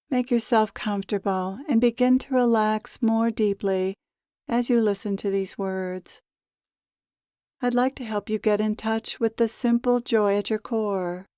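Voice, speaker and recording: A woman leading a guided meditation as a spiritual teacher, with measured, deliberate phrasing. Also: mid-range voice, fairly even intonation, dark tone, clean tone, dry recording, clean studio sound. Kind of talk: guided meditation, spoken as a channeled message.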